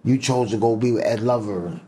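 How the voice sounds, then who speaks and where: A man's voice: mid-range voice, a little fast, warm tone, slight background noise. A man talking in an interview as a guest, emphatic and profane, full of fillers and slang. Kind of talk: casual interview talk.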